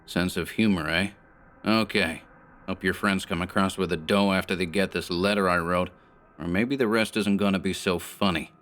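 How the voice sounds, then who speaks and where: A man's voice: low-pitched, steady tone, moderate pace, fairly bright tone, clean tone. A man voicing a short, sallow gang boss who talks in harsh, clipped tough-guy slang. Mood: mocking.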